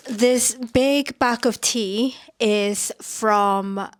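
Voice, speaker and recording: Woman from myanmar chatting on her podcast, stuffy nasal voice, slow and rambly, says like a lot. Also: a little high. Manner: rambling, lots of "like" fillers. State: nasal, getting over a cold. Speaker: podcast host. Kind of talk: casual chatty podcast talk.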